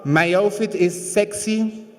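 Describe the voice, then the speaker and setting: Chilean man in halting English. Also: high-pitched, faint music behind. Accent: Chilean Spanish. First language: Spanish. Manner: halting, searching for English words. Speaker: fashion designer. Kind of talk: speech at a public event. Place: event hall. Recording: reverberant hall.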